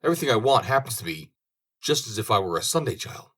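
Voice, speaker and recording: A man reading as a naive young storybook hero with a lively, swinging pitch. He is cheerful, trusting and easily delighted. His earnest exclamations come in a slightly husky voice. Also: fast talker.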